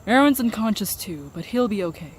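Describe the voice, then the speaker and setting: A woman playing a weary, worried but courteous camp survivor in an audio drama, gentle and sombre in manner. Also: mid-range voice, lively intonation, fairly quick, slight background noise, lightly acted, rough recording. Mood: somber. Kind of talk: audio drama acting, anime fan parody.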